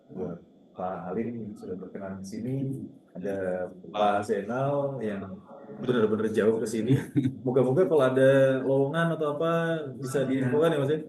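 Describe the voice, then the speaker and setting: A man's voice: fairly low pitch, mellow. A man, a university lecturer, giving informal closing remarks to students in a conversational way. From Indonesia. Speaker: university lecturer moderating a guest talk. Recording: echoey room with clear background noise.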